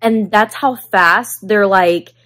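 A woman's voice: medium pitch, takes it slowly, a bit bright. A young woman chatting to the camera in a casual vlog, filler-heavy and laughing now and then, seated in her living room. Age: young adult. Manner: chatty, lots of "like", laughing asides. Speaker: book vlogger.

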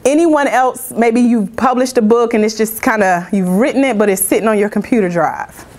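A woman's voice: a little high, moderate pace, darkish tone. A middle-aged woman from Georgia, giving an upbeat, interactive motivational talk in an indoor room. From Georgia, United States. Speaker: motivational speaker, business coach. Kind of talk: informal motivational talk to a small audience.

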